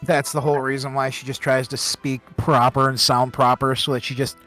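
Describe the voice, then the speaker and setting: A man's voice: mid-range voice, level tone, a little fast, a bit bright, soft background music. A man chatting casually during a live game fan dub. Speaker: gamer dubbing a live playthrough. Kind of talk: casual chat.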